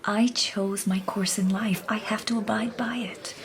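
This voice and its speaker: A woman's voice: mid-range voice, fairly even intonation, measured pace, a crowd in the background, close to an everyday voice. Actress as a proud, wistful impoverished woman. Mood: proud, wounded. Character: proud, stubborn, impoverished older sister, wistful. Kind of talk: radio drama acting.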